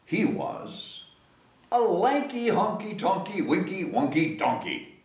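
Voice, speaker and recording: A man's voice: high-pitched, very animated, slow pace, background noise. A man reading a picture book aloud to children in a playful, coaxing way, stretching words for effect and inviting listeners to join in. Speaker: story time reader, host. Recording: echoey room, distant microphone.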